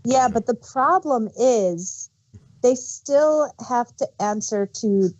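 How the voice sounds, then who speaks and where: A woman speaks slowly in a soft-edged, mellow tone, recorded close and dry. She chats as a co-host, explaining references in an informative, casual way. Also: a little high, lively intonation, no room sound, lo-fi sound. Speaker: co-host of a fan review show. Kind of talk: casual explanatory fan chat.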